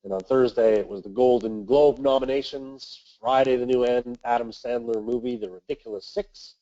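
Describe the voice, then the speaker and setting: A man with a nasal voice from a cold, hosting a weekly investment webinar and reading market commentary in a measured way with the odd filler. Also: mid-range voice, steady tone, dark tone, muffled recording. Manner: measured, reads from notes with filler uhs. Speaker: webinar host, investment manager.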